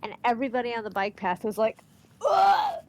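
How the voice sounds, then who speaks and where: A woman's voice: a little high, brisk, low-quality recording. A woman streaming a game with a stuffy nose from allergies, chatting casually and reading the game's lines aloud. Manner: excitable, reads game text aloud. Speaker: let's play streamer.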